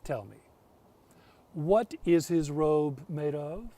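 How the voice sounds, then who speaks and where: A man's voice: a little high, slow pace, warm tone, far from the mic. Man playing a stern, commanding folk-tale woman. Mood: testing. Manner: commanding, testing. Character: stern, commanding sister of an invisible spirit.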